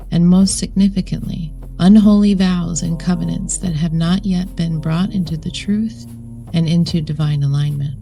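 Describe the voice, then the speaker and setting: A woman reading a scripted guided meditation in a solemn, measured way, with deliberate phrasing. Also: fairly low pitch, creaky, dark tone, low-quality recording. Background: background music.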